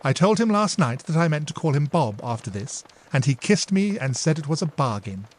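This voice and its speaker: A man's voice: on the high side, sing-song, brisk, darkish tone, pitched above the performer's own voice. A man voicing an impetuous, playful younger sister who talks eagerly and coaxingly.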